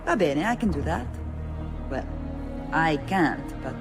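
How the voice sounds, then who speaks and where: An actress playing a brash, teasing young Venetian thief in a video game, blunt, with an Italian accent. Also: female voice, sits low, very animated, takes it slowly, music in the background, exaggerated voice. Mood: brisk, instructing.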